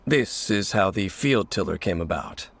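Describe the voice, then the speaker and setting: Somber game swordsman, low creaky voice, measured, aloof, knowing. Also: expressive, full character voice. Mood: grave explaining. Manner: grave, measured, restrained. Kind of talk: anime video game dialogue.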